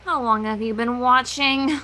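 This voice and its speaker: A woman's voice: fairly high pitch, unhurried, pushed and bright, a little hiss or hum. A woman voicing a studious, easily flustered young female pony in amateur fan fiction acting, prim and emphatic. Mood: sheepish.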